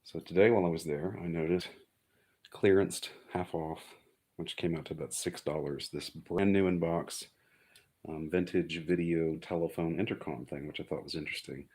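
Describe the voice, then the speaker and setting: A man's voice: deep voice, steady tone, normal speed, a little mellow, breathy edge. A man giving a casual, unscripted show-and-tell of electronics, explaining technical details in a matter-of-fact way. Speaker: hobbyist presenter of an electronics find.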